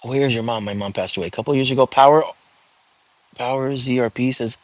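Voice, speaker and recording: Guy doing a casual livestream, calm voice, lots of ums, dry close sound. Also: medium pitch, fairly quick, dry recording. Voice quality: calming voice. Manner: chatty, reads viewer comments aloud, many fillers and restarts. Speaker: livestream host. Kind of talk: casual live-stream chat.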